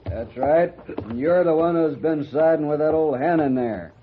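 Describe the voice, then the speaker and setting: An American actor playing a bullying, menacing gang leader in an old-time radio Western, with folksy rustic speech. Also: male voice, fairly high pitch, normal speed, lightly acted. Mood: taunting, menacing. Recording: muffled phone-like old radio recording, faint noise.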